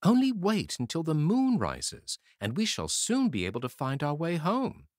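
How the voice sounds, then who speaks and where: A man's voice: fairly high pitch, huge pitch swings, moderate pace, dry recording, clean studio sound, voice pushed up. A man voicing a small, brave, clever boy in a fairy tale, speaking earnestly and emphatically. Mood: encouraging.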